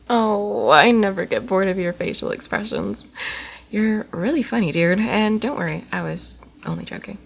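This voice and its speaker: A woman playing a tipsy, playful college girl in an intimate ASMR roleplay, rambling and teasing affectionately, often soft and whispery. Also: mid-range voice, very animated, slow pace, gravelly, pauses often, husky, full character voice.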